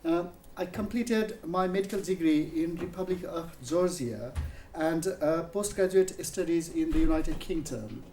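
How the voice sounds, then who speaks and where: A man from Nepal in his thirties with a Nepali accent, giving a measured academic public lecture with frequent fillers and restarts. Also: fairly high pitch, warm tone, a little hiss or hum. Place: university lecture room. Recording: echoey room, mic at a distance.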